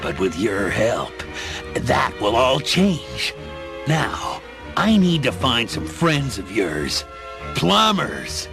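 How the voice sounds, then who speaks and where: An actor playing a grandiose, scheming reptilian dictator in a radio play, theatrical and commanding. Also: male voice, medium pitch, wildly swooping pitch, takes it slowly, gravelly, music in the background, exaggerated voice. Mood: smooth menace. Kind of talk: audio drama acting.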